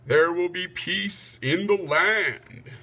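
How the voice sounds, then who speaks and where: A man acts out a stately king addressing his knights in a storybook. The voice is bright and forceful, a little high, with formal, declamatory authority. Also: slow pace, heavily acted. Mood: proud announcing. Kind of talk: storybook read-aloud.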